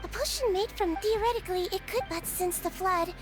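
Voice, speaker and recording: Very high-pitched earnest little nurse girl. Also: fairly quick. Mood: earnest explaining. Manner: earnest, precise, explanatory. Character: small, earnest young girl nurse of nonhuman origin. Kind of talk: video game voice acting. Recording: game music under the voice.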